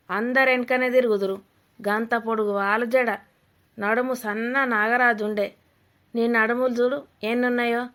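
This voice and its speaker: A woman's voice: on the high side, fairly bright tone, natural voice. A woman playing a blunt, nosy older village mother-in-law with a Telangana Telugu accent, scolding and mocking in a comedy cartoon.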